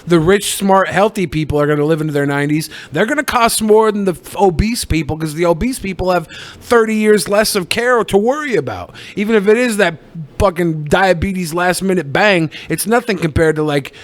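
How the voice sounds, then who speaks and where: High-voiced sardonic man on a podcast. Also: a little fast. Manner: sardonic, profane. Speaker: podcast co-host. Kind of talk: casual comedic podcast chat.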